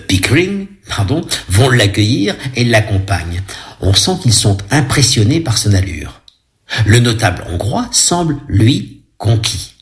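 A man giving an audio description of a film, chatty and ironic, with asides to his listeners. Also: fairly low pitch.

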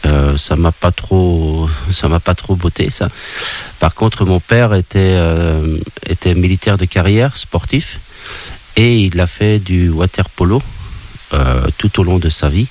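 Man, 50s, very low voice, hesitant, interview guest, light background hiss. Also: darkish tone. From Belgium. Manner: hesitant, many restarts and "euh" pauses. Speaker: guest, water polo coach. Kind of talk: casual interview talk. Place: indoor room at an association's premises.

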